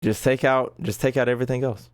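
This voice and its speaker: A man's voice: medium pitch, steady tone, quick pace. A man livestreaming a video game, chatting casually with slang and swearing, often repeating himself and stumbling over words. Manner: stumbles often when reading aloud; slangy, profane, repeats phrases emphatically. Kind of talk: casual livestream commentary, reading game text aloud and chatting.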